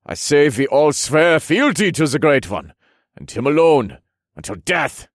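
A man's voice: a little high, big pitch swings, unhurried, dry recording, studio quality, voice pushed up, heavily acted. A man voicing eager, loyal young soldiers in a fantasy audiobook, with a hearty, earnest and emphatic delivery. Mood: solemn, loyal.

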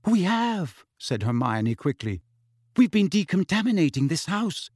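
A man's voice: high voice, big pitch swings, darkish tone, husky, no echo, clean studio sound, voice pushed up, exaggerated voice. A man voicing an anxious, clever teenage girl who apologizes and explains in a hurry. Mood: hasty explaining. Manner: apologetic, hurried.

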